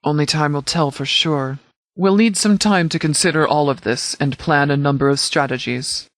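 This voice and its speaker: A man playing a no-nonsense sheriff's department commander in a video game, speaking formally and precisely in measured, scripted briefing style. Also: a little high, fairly bright tone, no room sound, clean studio sound, close to an everyday voice. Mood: composed, authoritative.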